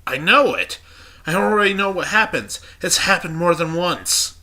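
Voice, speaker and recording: A man's voice: high-pitched, animated, moderate pace, bright, forceful tone, voice pushed up, full character voice. A congested man reading the uneasy inner monologue of a young female student in a game.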